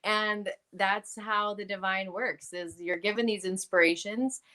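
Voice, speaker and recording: A woman with a mid-range voice talks with earnest, high energy as a podcast guest. She laughs easily and speaks about her work with real conviction. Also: steady tone, a little slow, pushed and bright. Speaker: podcast guest, publisher and coach. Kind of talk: casual interview chat.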